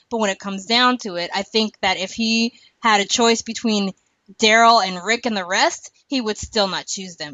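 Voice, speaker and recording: A woman's voice: mid-range voice, bright, forceful tone, no room sound, dull audio. A woman hosting a podcast, chatting casually about a TV series in an informal, opinionated way. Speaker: podcast host.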